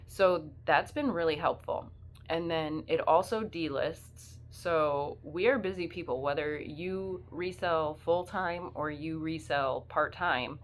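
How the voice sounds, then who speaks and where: A woman's voice: sits low, slow pace, slight background noise. A woman with the flu, sniffly, chatting casually and rambling as a part-time reseller in her vlog. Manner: chatty, rambling, many fillers.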